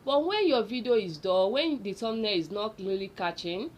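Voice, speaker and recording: A woman's voice: medium pitch, expressive, moderate pace, background noise. A woman with a Nigerian accent hosting a casual, unscripted tutorial, repeating points for emphasis and speaking directly to viewers. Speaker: video tutorial host. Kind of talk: casual unscripted explainer listicle.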